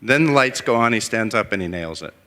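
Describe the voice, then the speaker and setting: Bright-voiced man telling an anecdote. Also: fairly low pitch, a little fast. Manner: relaxed, anecdotal. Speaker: panelist. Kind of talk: conference panel talk. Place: conference hall. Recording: reverberant hall.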